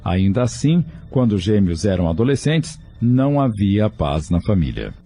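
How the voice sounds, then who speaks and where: A man's voice: on the low side, very animated, normal speed, warm tone, slight background noise. A man announcing and narrating a scripted radio drama.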